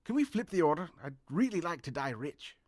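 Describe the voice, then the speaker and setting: An actor playing a hapless, self-absorbed wealthy gentleman in a comedic mystery audio drama. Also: on the high side, big pitch swings, brisk, no echo, clean studio sound. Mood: bewildered. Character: foppish wealthy male host, hapless, self-absorbed, flustered.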